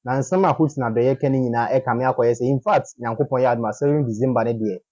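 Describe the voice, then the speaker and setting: A Ghanaian man with a husky voice delivering a promotional news introduction as a channel announcer. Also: medium pitch, quick pace, warm tone, no room sound. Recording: dry, dull lo-fi audio.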